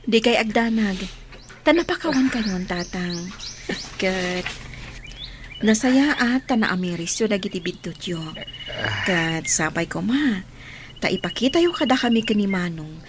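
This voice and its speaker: A woman's voice: medium pitch, lively intonation, measured pace, long pauses, lightly acted. A woman with a Filipino accent playing a devoted, earnest younger sister in a radio drama. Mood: tender, grateful. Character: devoted younger sister, a student who becomes a worker, earnest. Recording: noisy ambience, birdsong behind the voice.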